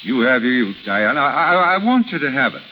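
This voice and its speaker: Actor as proud middle-aged husband, expressive swings. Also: male voice, medium pitch, highs cut off, close to an everyday voice. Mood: tender, resigned. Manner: formal, halting under emotion. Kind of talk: 1930s radio drama acting. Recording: noisy, sizzling 1930s radio recording.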